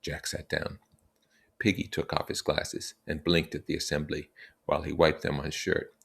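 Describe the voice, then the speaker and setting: A man reading a story aloud and explaining it with casual, expressive comments. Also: a bass voice, moderate pace, gravelly. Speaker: reader and teacher explaining a novel.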